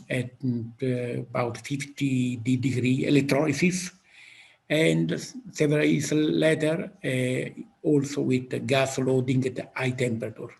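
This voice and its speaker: Slow gravelly Italian-accented man. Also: mid-range voice, darkish tone. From Italy. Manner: slow, halting, thinking aloud. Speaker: physicist guest. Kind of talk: interview answers.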